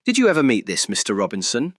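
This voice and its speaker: Lively-toned British interviewer. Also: male voice, medium pitch, fast, no echo, studio quality. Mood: gently curious. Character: curious, polite, probing male documentary interviewer. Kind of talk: audio drama interview acting.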